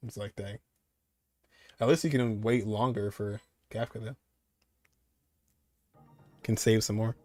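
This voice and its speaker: Casual, low-pitched male game streamer. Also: fairly even intonation, slow, pauses often, darkish tone, no room sound. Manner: rambling, repeats phrases, reads and answers chat. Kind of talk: casual live stream chat and game commentary.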